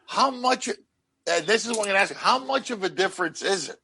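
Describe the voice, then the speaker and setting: A man's voice: sits high, animated, a little fast, sharp tone, slightly rough, rough recording. A man from Canada hosting a casual interview, an eager questioner who keeps repeating his points. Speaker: interview host.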